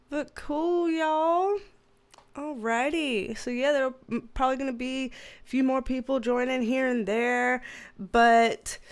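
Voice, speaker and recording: A bubbly woman with a lilt chats easily on a livestream. Her voice is nasal and stuffy from sinus trouble, and she breaks into giggles often. She speaks fairly high and takes her time. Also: clear, resonant tone. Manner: bubbly, giggly, greets viewers with high squeals. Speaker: tarot reader hosting a livestream. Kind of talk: casual livestream chat greeting viewers.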